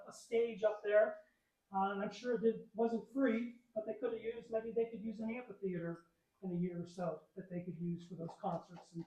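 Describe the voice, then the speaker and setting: High-pitched man speaking in an echoey room. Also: warm tone. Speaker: speaker pitching a fundraising project to club members. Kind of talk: informal prepared banquet speech. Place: banquet event room. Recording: roomy echo, distant microphone.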